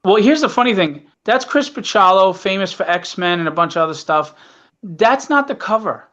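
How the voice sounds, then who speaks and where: A man with a notably high voice talks casually as a guest promoting his own work. He is emphatic and repeats short phrases. The sound is somewhat dull and lo-fi, like a call. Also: lively intonation, normal speed, a bit bright. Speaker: comic book creator, guest.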